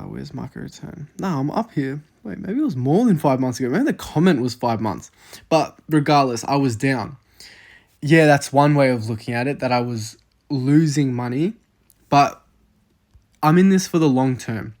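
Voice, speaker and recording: A young Australian man in his twenties talks casually through figures on his screen. He thinks aloud, full of "um" and "like". His voice sits at an ordinary middle pitch. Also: a little mellow. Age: 20s. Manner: casual, filler-heavy, thinking aloud over numbers. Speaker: investing vlogger. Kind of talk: casual screen-share walkthrough.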